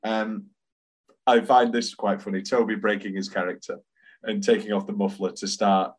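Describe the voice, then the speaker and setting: A man's voice: on the low side, little pitch movement, normal speed, breathy edge. A man co-hosting a podcast, chatting enthusiastically about musicals and reciting lyrics aloud. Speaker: podcast co-host. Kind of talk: casual chat about musicals.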